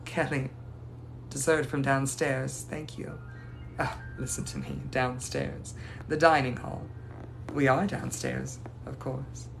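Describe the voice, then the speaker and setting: A man's voice: medium pitch, flat delivery, slow, creaky, fairly bright tone, soft background music, heavily acted, poor audio. A man playing a genteel lovelorn ghost lady. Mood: gracious. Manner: formal, old-fashioned and gracious. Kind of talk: improvised live roleplay answering viewers.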